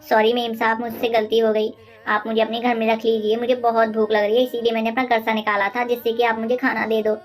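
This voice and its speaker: Woman playing a high-voiced sly, wheedling maid. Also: flat delivery, fast talker, close to an everyday voice. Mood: pleading. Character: sly, pleading maidservant feigning hunger. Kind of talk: animated moral-story dialogue.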